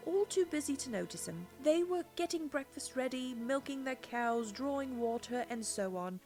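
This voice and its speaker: A woman narrating a children's storybook in an expressive, scripted storytelling delivery. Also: high-pitched. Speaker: audiobook reader.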